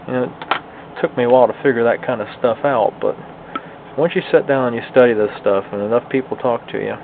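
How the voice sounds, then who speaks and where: A man with a creaky, gravelly voice talks viewers through hands-on work in a casual, folksy way. He sounds like a hobbyist thinking aloud as he goes. The audio is narrow and phone-like, with a faint layer of noise behind him. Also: mid-range voice. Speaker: hobbyist radio restorer and video host. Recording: lo-fi, phone-like band, slight background noise.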